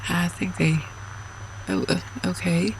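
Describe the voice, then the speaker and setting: A gaming host with a creaky, fry-laden voice talks casually through a puzzle. The delivery rambles and mutters with gentle self-mockery, over a faint bed of noise. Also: mid-range voice, takes it slowly. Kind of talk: casual gameplay commentary, thinking aloud.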